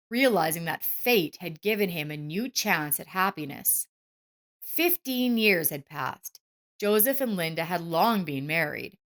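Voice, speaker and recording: A woman's voice: on the low side, a bit bright. A woman narrator reading a scripted story.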